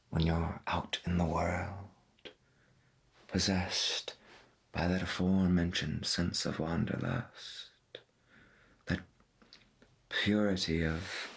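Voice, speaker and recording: A man acts out a veteran late-night radio host of the strange in a soft-spoken 1990s ASMR roleplay. His very low voice is gravelly and breathy, and he speaks very slowly. He muses in florid, halting phrases with calm wonder. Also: exaggerated voice. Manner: musing, florid, halting with long pauses.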